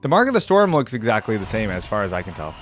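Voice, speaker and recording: A young man giving casual, expressive reaction commentary as a video host, easily amused. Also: lowish voice, quick pace. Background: game audio and music.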